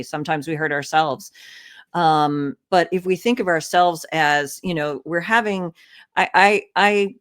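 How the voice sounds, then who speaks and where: Earnest woman reflecting as a guest. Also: low voice. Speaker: podcast guest. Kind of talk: reflective interview answers.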